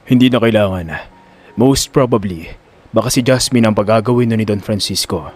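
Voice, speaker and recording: A man playing a respectful, low-key associate of a rich Don in an audio drama, speaking politely and formally. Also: normal speed, darkish tone, lightly acted. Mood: polite, reserved.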